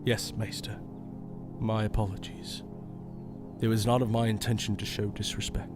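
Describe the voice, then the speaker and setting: An actor playing a nervous, earnest young royal sheriff who speaks formally and haltingly, full of self-doubt. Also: male voice, fairly low pitch, little pitch movement, music in the background, lightly acted. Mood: deferential. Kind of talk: audio drama acting.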